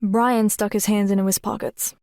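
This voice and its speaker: A woman playing a thoughtful teenage girl who narrates her own story in a measured, reflective audiobook style. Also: medium pitch, level tone, darkish tone, dry recording, studio quality, natural voice. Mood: reflective, reserved. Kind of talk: first-person audiobook narration.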